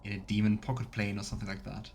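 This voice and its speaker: A man's voice: lowish voice, little pitch movement, very fast, creaky, a little mellow, a little hiss or hum. A man streaming a game with casual commentary, musing aloud and reacting as he plays. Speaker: streamer playing through a game. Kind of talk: casual let's play commentary. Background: faint game music.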